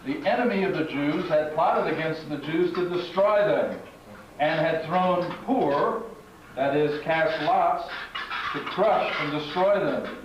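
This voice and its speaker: A man's voice: on the high side, takes it slowly. Man reading aloud in a very echoey hall. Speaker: narrator and master of ceremonies at a congregational puppet show. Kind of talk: live scripture reading to an audience. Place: large echoing room at a live congregational event. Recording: echoey hall from far off, muffled, noisy.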